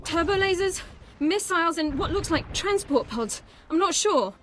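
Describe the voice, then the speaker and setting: An actress playing an alert young bridge ensign in a video game, giving clipped military reports. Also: female voice, very high voice, animated, normal speed, fairly bright tone, slight background noise. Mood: tense.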